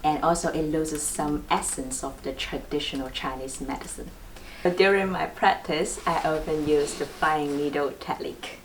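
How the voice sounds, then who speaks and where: A woman's voice: low voice, steady tone, normal speed. A woman acupuncturist hosting a clinic video, patiently explaining needles step by step with light laughs. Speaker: acupuncturist and host. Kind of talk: explanatory demonstration. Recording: distant mic, faint room noise.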